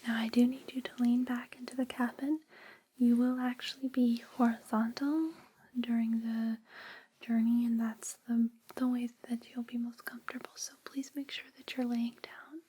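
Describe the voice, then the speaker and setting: A woman whispering softly and breathily in a soothing, reassuring ASMR personal-attention roleplay, close to a binaural microphone. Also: fairly high pitch, level tone, normal speed, slightly rough. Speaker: ASMR performer playing a space flight attendant guiding a sleep journey. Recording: close binaural with background hiss and clicks.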